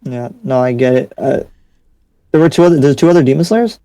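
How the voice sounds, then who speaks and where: Man playing a dark-voiced, halting, paranoid demon slayer. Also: close to an everyday voice. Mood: casual, curious. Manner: halting, repeats words, low-key. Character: frustrated, paranoid male demon slayer newcomer. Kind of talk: improvised anime Minecraft roleplay. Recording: slightly echoey room, music behind.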